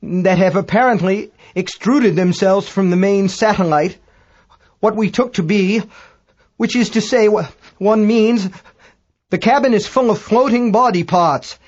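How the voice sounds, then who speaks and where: A pompous English amateur astronomer, pitched high and slightly muffled. He is prissy and rattled, forever correcting himself with formal understatement in an audiobook tale. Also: measured pace. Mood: flustered, pedantic horror. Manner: pedantic, self-correcting, stiffly formal.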